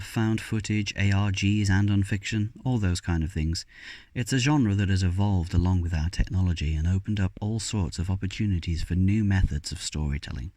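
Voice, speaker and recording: A man hosting and narrating a scripted video essay, speaking in measured written prose. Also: low-pitched, darkish tone, dry recording, clean studio sound. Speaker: video host.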